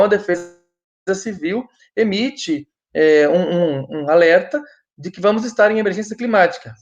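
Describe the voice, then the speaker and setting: High-voiced hesitant Brazilian man. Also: muffled recording. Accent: Brazilian Portuguese. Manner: hesitant, pauses often to restart. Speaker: guest speaker. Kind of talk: online presentation. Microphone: video call.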